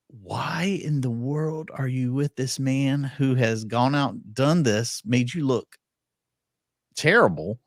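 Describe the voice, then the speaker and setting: A man's voice: mid-range voice, no echo, studio quality. A man co-hosting a court commentary live stream, talking casually and throwing out rhetorical questions. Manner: animated, exclaiming, rhetorical questions.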